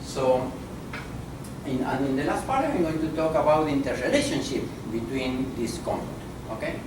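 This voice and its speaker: A man's voice: medium pitch, lively intonation, noisy background. Male professor, far in an echoey room. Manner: deliberate, halting, many fillers. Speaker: visiting professor and speaker. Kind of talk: academic conference lecture. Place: conference room.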